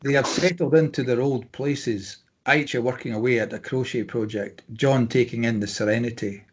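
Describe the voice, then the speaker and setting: A man's voice: mid-range voice, fairly even intonation, moderate pace, husky. A man reading stage directions as scripted narration at a drama table read.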